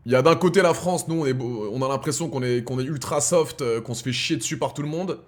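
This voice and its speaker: A man's voice: mid-range voice, fast. A man in his thirties chatting casually to his live-stream viewers, slangy and rambling, calling them his brothers. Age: 30s. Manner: swings from heated rants to quiet musing. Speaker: streamer host.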